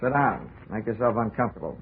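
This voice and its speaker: An actor playing a cynical, wisecracking insurance investigator, cool under pressure, in 1940s radio detective style. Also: male voice, lowish voice, animated, fast talker, natural voice. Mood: sardonic. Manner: wry, hard-boiled. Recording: noisy, tinny 1940s radio recording.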